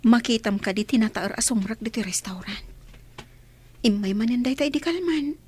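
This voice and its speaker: A woman playing a caring friend who gently advises and encourages, in an Ilocano-accented radio drama. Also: fairly high pitch, normal speed, breathy edge. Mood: encouraging.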